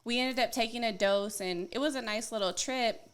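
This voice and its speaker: A soft-voiced woman in a studio podcast interview, talking candidly and casually with lots of fillers. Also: on the high side, normal speed, clean tone. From Colorado, United States. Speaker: guest wellness coach. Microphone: podcast studio mic.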